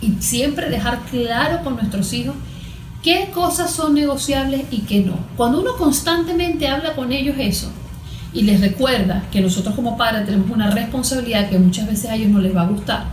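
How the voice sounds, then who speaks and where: A woman's voice: fairly high pitch, normal speed, a little mellow. Venezuelan woman explaining in an echoey room. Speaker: cognitive therapist. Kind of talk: explanatory interview talk. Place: therapy consulting room. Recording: echoey room with music bed.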